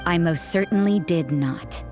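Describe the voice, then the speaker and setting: A woman's voice: fairly low pitch, unhurried, close to an everyday voice. A woman playing a stern, suspicious sister on guard in a video game, dry and sardonic, with music in the background. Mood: accusing. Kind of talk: video game character acting.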